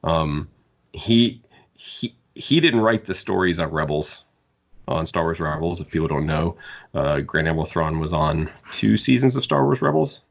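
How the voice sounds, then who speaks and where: Very deep-voiced man, slightly muffled. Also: expressive, vocal fry. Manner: halting, repeats words while thinking aloud. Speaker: podcast panelist. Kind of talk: casual discussion. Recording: muffled, dull audio.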